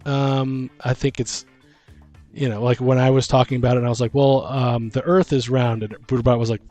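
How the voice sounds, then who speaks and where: A man's voice: mid-range voice, flat delivery, brisk, warm tone. A man hosting a casual chat about tabletop games as game master, talking in a rambling, slangy, informal way. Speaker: podcast host and game master. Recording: music behind the voice. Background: music.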